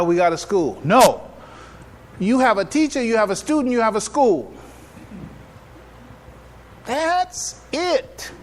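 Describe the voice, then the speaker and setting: A male imam delivers an informal live lecture in a fairly high-pitched voice. He leans on repetition for emphasis and keeps checking that listeners follow. The recording carries clear background noise. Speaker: imam giving a public address.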